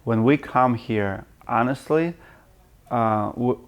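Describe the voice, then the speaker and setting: A man's voice: medium pitch, slow. A man from Russia, hosting a casual interview and asking plain, direct questions in short phrases. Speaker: interview host, roofer.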